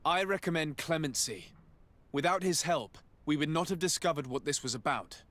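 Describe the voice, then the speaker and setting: An actor playing a composed, decisive diplomatic envoy in a video game, speaking in a formal, measured way. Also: male voice, fairly high pitch, fairly bright tone, dry recording, natural voice. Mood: composed, firm. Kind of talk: scripted video game acting.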